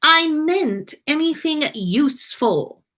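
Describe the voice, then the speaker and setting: Woman acting a haughty boy wizard, high-pitched. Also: animated, slow pace, pushed and bright, full character voice. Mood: haughty, dismissive.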